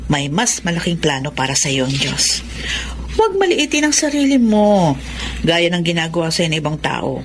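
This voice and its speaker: An actress playing a caring, devout, encouraging wife in a Filipino drama, speaking in an earnest, urging way. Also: female voice, fairly low pitch, sing-song, moderate pace, slight background noise.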